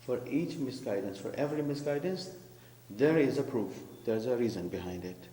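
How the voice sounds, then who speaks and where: A man's voice: medium pitch, expressive, moderate pace, warm tone. Male preacher, explanatory and questioning, in an echoey reverberant hall. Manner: explains terms, asks the congregation. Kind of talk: sermon commentary. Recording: echoey hall with faint hum.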